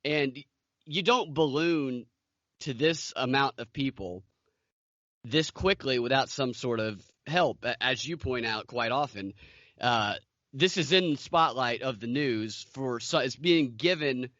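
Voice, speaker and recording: A man's voice: medium pitch, unhurried, a bit bright, no room sound, muffled recording. A man co-hosting a podcast, talking casually through the news. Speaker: podcast co-host.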